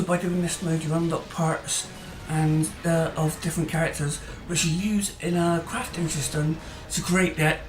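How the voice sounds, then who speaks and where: Rambling casual gamer in a roomy echo. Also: mid-range voice. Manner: chatty and rambling, with hesitant fillers and restarts. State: voice tiring. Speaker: gaming channel host. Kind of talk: casual gameplay commentary. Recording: roomy echo, faint music behind.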